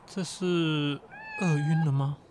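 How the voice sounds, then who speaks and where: A man plays an easygoing young man in a radio play, teasing and playful. His voice sits a little high. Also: fairly even intonation, slow, noisy background.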